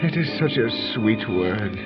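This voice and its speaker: An actor playing a defiant British lord and archaeologist in a theatrical, declaiming 1930s radio style. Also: male voice, on the low side, animated, a little slow, over loud music. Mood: weak, dying. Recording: muffled 1930s radio recording.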